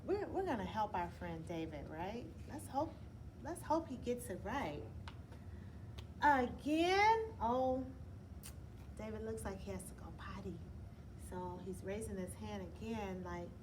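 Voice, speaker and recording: A woman teacher reading a picture book aloud to children, explaining gently and often checking in with "right?". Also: medium pitch, animated, unhurried. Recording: clear background noise.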